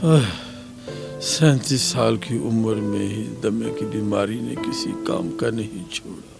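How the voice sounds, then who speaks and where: Guy playing poor tired dad in a radio play, slow n creaky, sighs a lot, loving, music behind. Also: lowish voice. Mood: weary, lamenting. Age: 30s. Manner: sighing, lamenting, emotive delivery. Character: poor, weary, asthmatic factory worker father, 37, loving. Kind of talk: radio drama acting.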